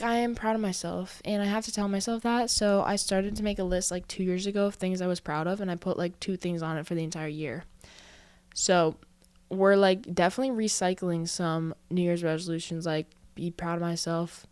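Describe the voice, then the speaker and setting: A woman's voice: lowish voice, steady tone, normal speed, darkish tone, clean tone, dry recording. A woman in her early twenties hosting a casual solo podcast, talking in a chatty, self-reflective way full of "like" fillers and a little out of breath. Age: early 20s. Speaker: podcast host.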